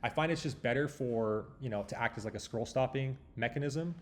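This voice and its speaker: A man's voice: mid-range voice, brisk. A man hosting a tutorial, talking informally through a walkthrough and explaining as he thinks aloud. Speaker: tutorial host. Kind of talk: casual screen walkthrough tutorial.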